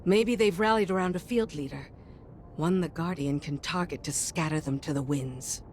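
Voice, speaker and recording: Game role: actress as loyal, embittered female officer. Lowish voice, firm, guarded, over noisy ambience. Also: normal speed, darkish tone, lightly acted. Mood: urgent, businesslike. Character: loyal, embittered female warrior, the queen's lieutenant. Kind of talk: video game mission dialogue.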